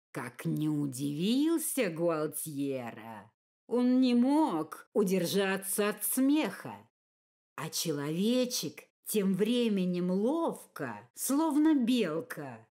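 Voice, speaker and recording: A woman reading a fairy-tale audiobook as narrator, in an expressive, measured storytelling manner. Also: lowish voice.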